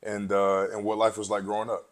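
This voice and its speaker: A man's voice: fairly low pitch, completely flat delivery, brisk. A young man from the United States hosting a casual interview, easygoing and slangy in how he asks and responds. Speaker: podcast host, college football player.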